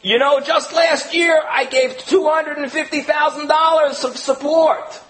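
A man voicing a boastful middle-aged male philanthropist who loudly exaggerates his gifts at a fundraiser. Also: extremely high pitch, fairly even intonation, measured pace, voice pushed up, poor audio. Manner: loud, boastful, showy.

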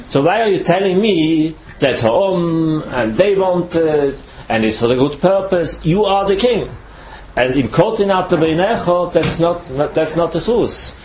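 A man from Switzerland, not a native English speaker, giving a Torah lecture as a rabbi in halting, careful English. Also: fairly high pitch, background noise.

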